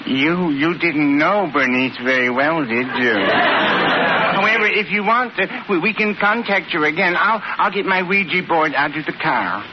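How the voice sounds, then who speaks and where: An actor playing a meek, hesitant widower landlord who stammers politely, in the manner of 1940s radio comedy. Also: male voice, a little high, lively intonation, moderate pace, lightly acted. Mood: earnest, stubborn. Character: mild, fussy widower landlord devoted to late wife. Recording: muffled, noisy old radio recording.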